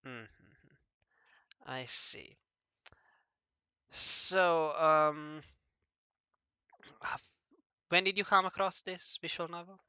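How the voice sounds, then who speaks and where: Halting man interviewer with a sharp, bright voice. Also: fairly high pitch, clear, resonant tone, no echo. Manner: halting, frequently stammering over word starts. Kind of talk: casual interview chat.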